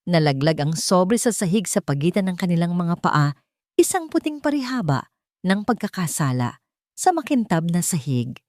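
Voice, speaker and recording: A woman's voice: fairly low pitch, very animated, moderate pace, a little mellow, dry recording, studio quality. A woman reading a dramatic romance story as a scripted narration.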